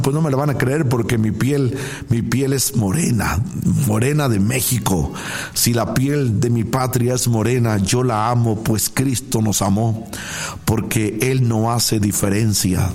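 A man's voice: mid-range voice, a little slow, a little mellow. A man from Puebla with a Mexican accent, talking casually as a pastor hosting a live broadcast, effusively blessing listeners and repeating his phrases for emphasis. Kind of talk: casual live talk, greeting listeners and reading messages.

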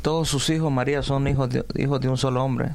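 Man, interviewer, mid-pitched voice with creaky fry, asking questions. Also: fairly even intonation, quick pace, darkish tone.

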